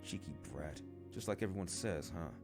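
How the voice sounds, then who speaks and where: A man's voice: deep voice, normal speed. A man voicing a cocky older schoolboy bully from a storybook, slangy, taunting and condescending. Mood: boastful sneer. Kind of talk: storybook character dialogue. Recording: guitar music behind the voice.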